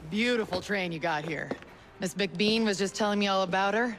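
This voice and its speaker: Actress as a breezy, medium-pitched entrepreneur. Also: female voice, normal speed, fairly bright tone, natural voice. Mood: breezy, confident. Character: breezy, confident young transit entrepreneur. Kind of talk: video game cutscene acting.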